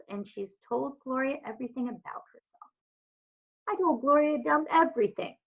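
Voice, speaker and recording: A woman, a teacher, reading a children's story aloud as narrator. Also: medium pitch. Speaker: elementary school teacher. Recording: dull lo-fi home recording.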